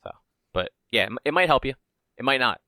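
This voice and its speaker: Podcast guy rambling casually, bright lowish voice, dry close sound. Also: lively intonation, a little fast, no echo. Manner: laid-back, rambling, swears casually. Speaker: podcast co-host. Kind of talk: casual chat.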